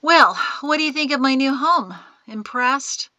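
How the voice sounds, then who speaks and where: A woman's voice: high voice, a little slow, pushed and bright, no echo, voice pushed up. A woman voicing a bitter, hot-tempered young man in chains, agitated and sarcastic, as in an audiobook. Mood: urgent, sardonic. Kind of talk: audiobook character acting.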